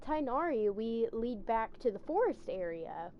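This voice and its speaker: Casual female streamer, fairly high, excitedly rambling commentary, muffled microphone. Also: a little hiss or hum. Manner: rambling, enthusiastic, halting. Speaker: game streamer.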